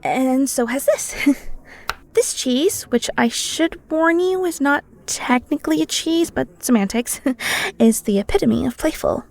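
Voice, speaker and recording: A woman's voice: sits high, expressive, unhurried, vocal fry, exaggerated voice. A woman playing a playful, flirty cheesemonger in an intimate, teasing audio roleplay. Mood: playful, enthusiastic. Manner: bubbly, teasing, giggly, breaks into near-whispers.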